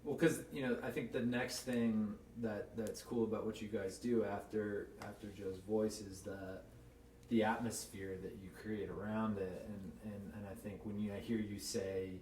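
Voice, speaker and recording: A man's voice: fairly low pitch, flat delivery, normal speed, a little mellow. A man hosting a podcast, talking in a thoughtful, rambling way with lots of fillers. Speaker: podcast host. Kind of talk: casual interview chat. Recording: echoey room, distant mic, faint noise.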